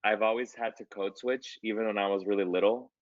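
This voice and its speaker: Low-voiced man chatting loosely. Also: fairly even intonation, a little fast, no room sound, lo-fi sound. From United States. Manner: loose, conversational, many fillers and restarts. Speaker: artist. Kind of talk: interview answers.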